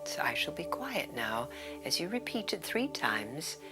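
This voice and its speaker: A woman leading a guided meditation as a yoga nidra teacher, speaking in a soothing, deliberate way with breathy, whispered phrase endings. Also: sits low, big pitch swings, over music.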